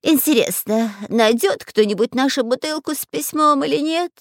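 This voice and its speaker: A woman voicing a whiny, boastful, excitable male bandit spy in a children's storybook. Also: high voice, normal speed, no echo, clean studio sound, pitched above the performer's own voice. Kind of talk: children's storybook character acting.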